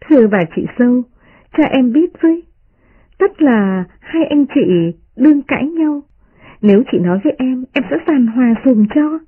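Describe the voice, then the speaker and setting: A sharp-tongued young noblewoman, voiced by a woman reader, teases in a high, lilting voice. Her husky tone is heard through a thin, muffled radio line. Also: animated, moderate pace. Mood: teasing. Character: young noblewoman, witty and teasing.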